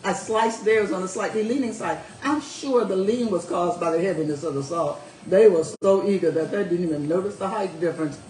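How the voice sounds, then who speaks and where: A woman's voice: lowish voice, fairly quick, few pauses, a little mellow, far from the mic, a little hiss or hum, pitched above the performer's own voice, lightly acted. A woman reading as a sly older widow, gleeful. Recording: roomy echo, faint music.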